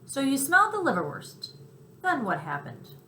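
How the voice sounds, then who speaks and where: A woman voicing an excitable, generous male mouse for a children's story, speaking in short, eager, exclamatory bursts. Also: sits high, normal speed, roomy sound, a little hiss or hum, voice pushed up, heavily acted. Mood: eager, agreeing.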